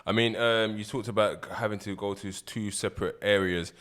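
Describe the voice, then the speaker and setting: Low-voiced male host, easygoing, keeps the guest talking. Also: fairly even intonation, fairly quick, a bit bright. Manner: laid-back, encouraging, frequent "yeah". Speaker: interviewer, host. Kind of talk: casual interview.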